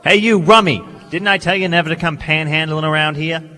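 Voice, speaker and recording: An actor playing a gruff, short-tempered barkeeper in a stage radio play, barking orders in rough slang. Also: male voice, on the high side, a little fast, bright, forceful tone. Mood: scornful. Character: gruff, short-tempered barkeeper who bullies his customers. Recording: reverberant hall stage recording.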